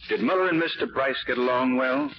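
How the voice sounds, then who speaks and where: An actor playing a suave, perceptive gentleman sleuth in a 1940s radio drama, polite, measured and knowing. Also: mid-range voice, lightly acted. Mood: courteous. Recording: dull, noisy vintage radio recording.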